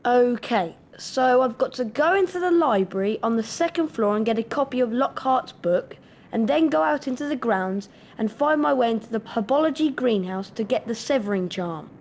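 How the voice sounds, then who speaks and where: A performer playing an earnest, plucky young British schoolboy wizard in a video game, animated and slightly put-upon. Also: mid-range voice, normal speed.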